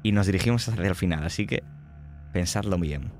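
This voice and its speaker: Low-voiced Spanish game master, dramatic. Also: male voice, brisk, a little mellow, faint music behind. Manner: dramatic, vivid scene-setting. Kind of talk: narrating a tabletop horror game.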